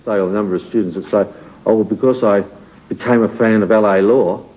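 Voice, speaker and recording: A man in an interview making quick, wry remarks. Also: lowish voice, fairly even intonation. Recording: tinny, thin sound with faint noise.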